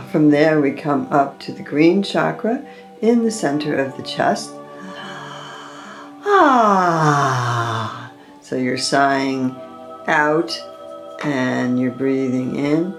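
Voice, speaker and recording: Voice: mid-range voice, music in the background. A meditation guide leading a chakra session, drawing out the breathing cues. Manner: slow, drawn-out breathing cues.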